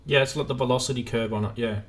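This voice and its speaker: A man's voice: mid-range voice, steady tone, fast. A man hosting a live stream in a casual, informal chat, thinking aloud as he goes.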